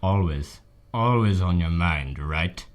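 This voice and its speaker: A man acting a sardonic, theatrical gentleman from a classic English novel in a radio drama. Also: deep voice, lively intonation, slow pace. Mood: brooding, sardonic. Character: brooding, sardonic men from classic English novels, lovers at tea.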